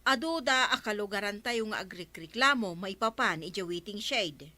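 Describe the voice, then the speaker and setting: Bright-voiced, concerned Ilocano villager. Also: female voice, mid-range voice, animated, normal speed, no room sound. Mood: reporting. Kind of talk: Ilocano radio comedy drama acting.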